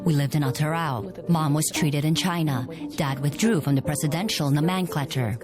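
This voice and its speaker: A woman reading a scripted documentary voice-over with an expressive delivery. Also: deep voice, moderate pace. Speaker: voice-over translator. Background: music.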